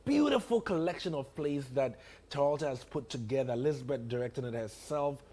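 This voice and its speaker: A man's voice: medium pitch, lively intonation, brisk, darkish tone. A man with a Ghanaian accent casually promoting events as a guest in a TV interview. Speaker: theatre promoter, studio guest. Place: TV studio.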